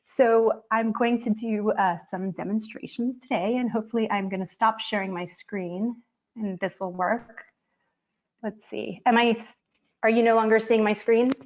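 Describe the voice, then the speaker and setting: A woman's voice: fairly high pitch, fairly even intonation, moderate pace. Woman, from Miami, oceanographer, friendly explainer, webcam call, dull lo-fi audio. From Miami, Florida. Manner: friendly, explanatory, with frequent ums. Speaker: physical oceanographer. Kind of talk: online science presentation and demo. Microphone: webcam on a video call.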